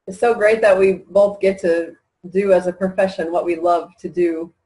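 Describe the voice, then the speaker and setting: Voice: mid-range voice, steady tone, moderate pace, a little mellow, low-quality recording. A friendly host, chatting with a guest in a conversational interview. The host asks questions easily and laughs along in the conversation.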